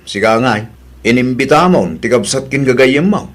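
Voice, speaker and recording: A man's voice: mid-range voice, normal speed, lightly acted. A man with an Ilocano accent playing a considerate, trusting husband in a radio drama. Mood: questioning.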